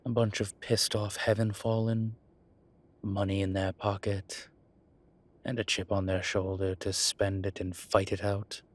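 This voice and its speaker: A man playing a proud, sardonic incubus demon professor in a roleplay audio drama, with a halting, deliberate delivery. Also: monotone. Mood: sly, eager. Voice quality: low, husky voice. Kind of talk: roleplay audio drama, speaking to an unseen listener.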